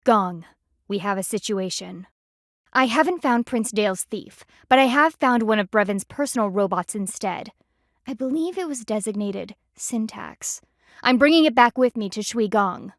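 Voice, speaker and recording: A woman's voice: medium pitch, lively intonation, normal speed, no echo, clean studio sound, natural voice. A woman voicing a stern, haughty panda army lieutenant in a video game, speaking in a formal, clipped, dryly disdainful way. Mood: businesslike.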